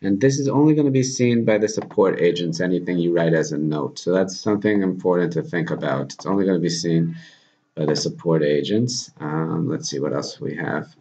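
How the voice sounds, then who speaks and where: A man in his thirties presenting a software tutorial informally, thinking aloud as he demonstrates at his computer. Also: deep voice, brisk. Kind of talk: casual software screencast tutorial. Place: small plain room indoors. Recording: dull lo-fi audio, background noise.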